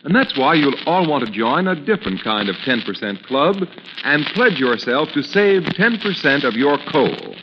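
A male announcer delivers scripted copy in emphatic 1940s radio style. His pitch moves up and down with great animation. The vintage recording is muffled and noisy. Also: sing-song, normal speed. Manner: emphatic, declamatory.

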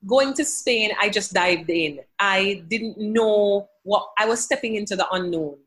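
A woman's voice: medium pitch, measured pace, a bit bright, poor audio. A woman guest on a podcast interview, speaking earnestly from her home office and quoting scripture. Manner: earnest, faith-filled, quotes scripture. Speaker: podcast guest, language educator. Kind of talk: conversational interview answers.

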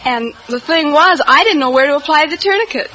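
A woman with a very high voice chats as a quiz contestant. Her talk is casual and unscripted. The recording is dull old radio. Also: expressive, a little fast, sharp tone. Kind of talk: casual unscripted anecdote and short answers.

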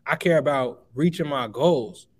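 A man's voice: mid-range voice, unhurried, a little mellow. Fired-up guy in his 20s from chicago, guest on a podcast, preachy motivational talk, keeps saying bro. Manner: emphatic, motivational, calls the listener bro. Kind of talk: casual podcast interview.

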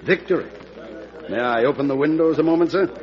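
Male actor as embittered disgraced statesman, highish voice, declamatory, old radio drama, dull hissy recording. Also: fairly quick, a crowd in the background, natural voice. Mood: wounded, defiant. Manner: theatrical, impassioned, declamatory. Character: bitter, proud, wounded fallen statesman accused of treason. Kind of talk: classic radio drama acting. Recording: noisy, band-limited old radio recording.

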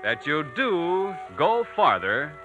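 A man's voice: a little high, very animated, slow, highs cut off, over music. A man announcing a scripted 1940s radio commercial in a polished, salesman-like manner, on a vintage broadcast recording. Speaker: radio announcer and sponsor pitchman.

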